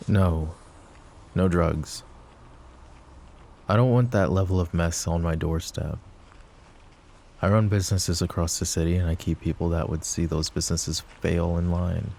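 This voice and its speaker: Guy doing a possessive mafia boss, super deep and low, close intimate asmr talk. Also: steady tone, creaky, darkish tone, slight background noise. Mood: measured. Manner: low, measured and intimate, speaking to an unheard listener. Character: possessive young adult male mafia boss, guarded. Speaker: voice actor. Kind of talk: boyfriend ASMR roleplay.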